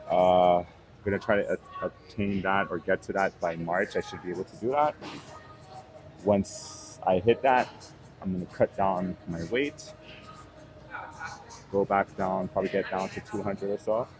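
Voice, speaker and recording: Low-voiced man in his thirties. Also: low-quality recording. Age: 30s. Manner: relaxed, rambling, with fillers. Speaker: fitness vlogger. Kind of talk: casual vlog chat. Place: gym, indoors.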